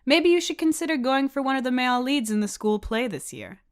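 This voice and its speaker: A woman's voice: sits high, animated, fairly quick, pitched above the performer's own voice. A woman voicing an approving, encouraging adult schoolteacher in a story. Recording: music behind the voice.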